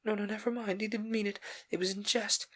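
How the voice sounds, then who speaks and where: A man reading a novel aloud, voicing a heartbroken young actress who pleads in high, tearful whispers. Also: level tone, fairly quick, a bit bright. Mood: pleading. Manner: tearful, breathy whispering pleas. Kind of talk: audiobook character voice.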